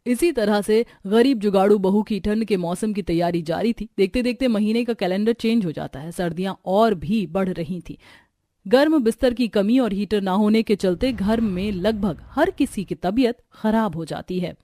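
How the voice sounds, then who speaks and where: A woman's voice: mid-range voice, normal speed, warm tone, no echo. A woman narrating a scripted cartoon story.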